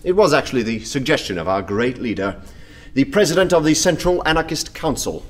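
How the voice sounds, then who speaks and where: An actor playing a fervent, gleeful anarchist poet in a radio play, with a theatrical, declamatory manner. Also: male voice, mid-range voice, sing-song, brisk. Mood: smug, confiding. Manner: theatrical, declamatory, laughing zeal.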